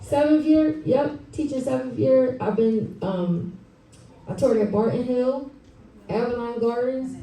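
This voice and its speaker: Echoey, mellow-voiced woman addressing an audience. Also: a little high, unhurried. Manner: encouraging, addresses the audience directly. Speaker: children's author and school teacher. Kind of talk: live author talk to children and families. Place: library event room, speaking to a live audience. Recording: roomy, echoey live event, distant mic.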